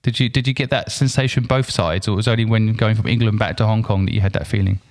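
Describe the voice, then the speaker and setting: A man's voice: on the low side, fairly even intonation, fast talker, a little mellow. A man hosting a podcast, giving an upbeat intro and asking questions with stumbling repeats. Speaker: podcast host.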